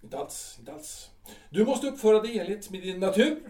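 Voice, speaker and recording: A man's voice: high-pitched, animated, a little mellow, distant mic. An actor playing a bitter, sarcastic middle-aged artillery captain who mocks his wife in an audio drama. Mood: sardonic. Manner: mocking, sardonic. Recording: roomy, off-mic sound.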